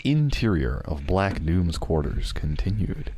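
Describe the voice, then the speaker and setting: A man's voice: low-pitched, big pitch swings, unhurried, background noise. A man reading scene headings and action as narrator of an amateur fan fiction script.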